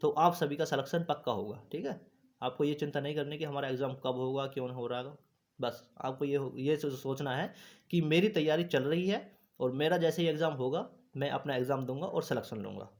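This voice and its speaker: A man's voice: mid-range voice, brisk, a little mellow. A man giving an informal, instructive explainer to exam candidates, repeating points and often checking that listeners follow. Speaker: host of an exam news channel.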